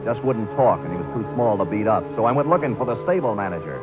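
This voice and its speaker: A hard-boiled private detective, played by a man, narrates in clipped, blunt sentences. The recording is dull and lo-fi, with loud vintage music underneath. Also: fairly low pitch, expressive, quick pace, lightly acted. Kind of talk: 1940s radio acting.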